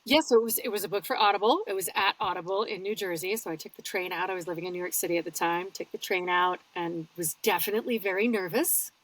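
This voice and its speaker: A woman, a guest audiobook narrator, chatting casually in an interview from a small padded home booth and telling her stories with lively swings in her voice. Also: quick pace, few pauses, recorded from a distance, low-quality recording. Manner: animated storyteller with wide pitch swings.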